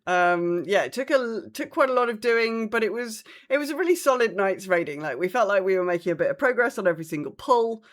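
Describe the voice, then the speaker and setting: A woman co-hosts a podcast in a casual, conversational way. Her voice sits at a middle pitch as she recounts her gaming week, acting out reactions as she goes. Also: animated, fast, few pauses. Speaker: podcast host.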